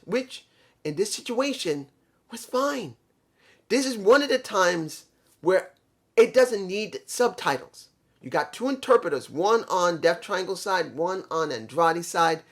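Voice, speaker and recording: Man, fairly high voice, casual show reviewer, rambling, self-correcting, trips over names. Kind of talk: casual solo review talk.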